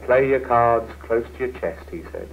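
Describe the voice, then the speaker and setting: Actor as an easygoing British colleague, tinny film sound. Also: male voice, mid-range voice, normal speed, vocal fry, slight background noise, lightly acted. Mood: wry. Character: easygoing, unambitious male office colleague. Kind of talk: 1970s training film acting.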